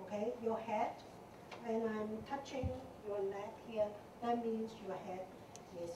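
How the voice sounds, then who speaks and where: A woman teaching a meditation class, speaking in a gentle way with simple phrasing and many fillers. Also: on the high side, fairly even intonation. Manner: slow, gentle, non-native phrasing with fillers. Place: temple meditation hall. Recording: echoey hall, distant mic, noisy.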